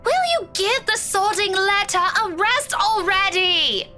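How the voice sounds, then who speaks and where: A woman's voice: very high-pitched, measured pace, music in the background. A woman playing a prickly, impatient teenage girl in a visual novel, curt and irritable. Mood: exasperated.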